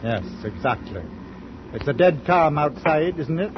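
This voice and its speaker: Stubborn old sea captain, muffled vintage radio. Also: male voice, a little high, very animated, a little slow. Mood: stubborn, commanding. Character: stubborn, plain-spoken old merchant ship captain. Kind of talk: 1940s radio drama acting. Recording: muffled noisy 1940s radio recording.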